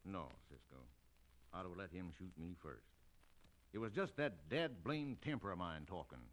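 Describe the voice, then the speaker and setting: Animated, folksy old western marshal. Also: male voice, deep voice, moderate pace. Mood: sincere. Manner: folksy cowboy grammar. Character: gruff, folksy, hot-tempered old western marshal father. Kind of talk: old-time radio western acting. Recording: noisy old radio recording.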